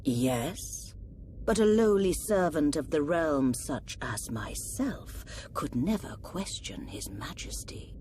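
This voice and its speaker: A woman playing a cold, scheming government minister in a video game, formal, measured and insinuating. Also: low voice, sing-song, darkish tone, slight background noise, exaggerated voice. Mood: sly, deferential. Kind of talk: dramatic video game voice acting.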